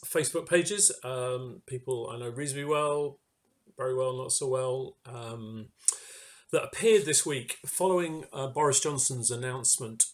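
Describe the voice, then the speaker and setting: A man giving an informal sermon reflection in a hesitant, halting way, pausing mid-phrase. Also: medium pitch. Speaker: church speaker giving a reflection.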